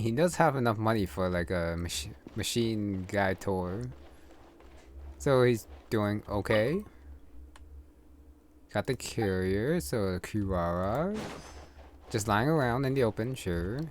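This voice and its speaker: A man's voice: fairly low pitch, animated, slow, pauses often, a little hiss or hum. A man giving casual, unscripted gameplay commentary, musing aloud to himself as he plays. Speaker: gamer, streamer. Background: game music.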